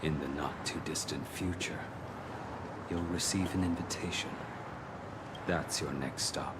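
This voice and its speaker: A man playing a grave, cryptic figure who foretells fate in a video game, speaking solemnly and deliberately. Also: a bass voice, steady tone. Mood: solemn, foreboding. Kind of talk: scripted video game voice acting. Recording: loud noise behind the voice.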